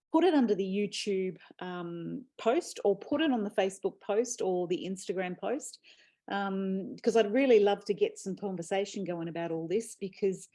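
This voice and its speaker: Woman host chatting earnest and reflective, laughs easy, middle pitch. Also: a little mellow. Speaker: host and author. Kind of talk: casual chat.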